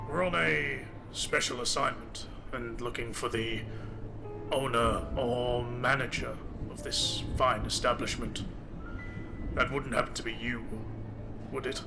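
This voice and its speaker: A man's voice: medium pitch, fairly even intonation, unhurried, gravelly, over music. A man playing a courteous but probing marshal in an audio drama, speaking formally.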